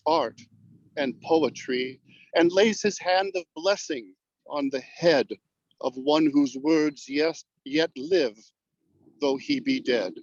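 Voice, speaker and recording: Male poetry reader, formal and deliberate, unhurried pace, dry but dull lo-fi call sound. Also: fairly high pitch, no room sound. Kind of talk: measured poem recitation, then thoughtful comment.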